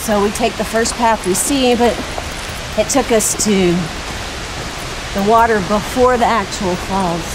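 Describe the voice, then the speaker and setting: A woman chatting casually as she hikes, recorded outdoors on a handheld camera. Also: medium pitch. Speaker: travel vlogger. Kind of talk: casual chat while hiking. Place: outdoors on a forest trail. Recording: loud rushing-water hiss outdoors.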